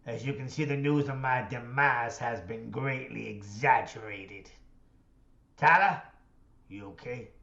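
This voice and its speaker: A menacing outlaw from a radio western, acted by a man, taunts in slow cowboy talk. The sound is dull and echoey, the pitch middling. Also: creaky, sharp tone. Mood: concerned. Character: menacing, taunting Old West outlaw, a father. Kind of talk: western radio play acting.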